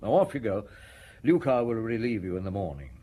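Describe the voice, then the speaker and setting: An actor playing a weary, brusque police chief inspector in a radio drama, gruff and curt. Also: male voice, on the low side, very animated, brisk, mellow, slight background noise. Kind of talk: radio drama acting and narration.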